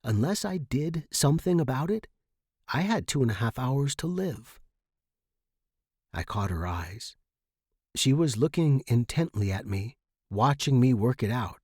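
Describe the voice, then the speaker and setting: A man's voice: mid-range voice, lively intonation, a little slow, long pauses, darkish tone, slightly rough, no room sound, studio quality. A man narrating first-person fiction from a script as an audiobook reader.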